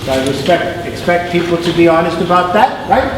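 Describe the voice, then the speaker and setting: A man's voice: high voice, lively intonation, brisk. A man moderating a public town meeting, plainspoken and firm as he gives instructions to the crowd. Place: large auditorium. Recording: echoey hall, mic far off, noisy.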